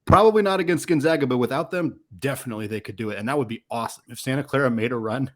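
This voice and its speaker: Male sports podcast host, mid-pitched voice, casual analytical chat with plenty of qualifying asides. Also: rapid-fire.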